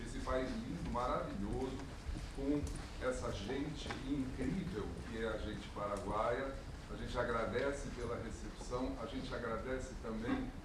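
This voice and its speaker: A man's voice: mid-range voice, measured pace. A Brazilian man gives a chatty, jokey spoken introduction from a concert stage and keeps thanking the audience. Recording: echoey hall from far off, audience noise. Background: audience ambience.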